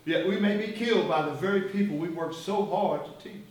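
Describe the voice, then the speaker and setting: Casting: man as a haunted activist, higher voice, far from the mic in an echoing hall. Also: fairly quick, lightly acted. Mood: brooding. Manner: intense, brooding and searching. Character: weary, outspoken activist, haunted and intense man. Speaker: stage actor. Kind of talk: stage play performance. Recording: echoey, distant stage recording, faint hiss.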